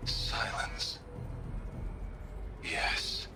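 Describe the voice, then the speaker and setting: An actor playing a fanatically obedient male cult devotee in a video game, speaking with hushed, reverent menace. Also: drawn-out words. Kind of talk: scripted video game acting. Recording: music and rumble behind.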